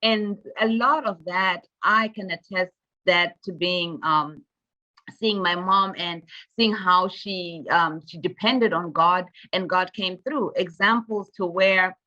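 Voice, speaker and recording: A woman speaks as a guest minister, earnest and reflective, often laughing lightly and pausing on fillers. Her voice sits low yet has a forceful, bright edge. The recording is dull and lo-fi. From Zimbabwe. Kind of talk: casual testimony in an interview.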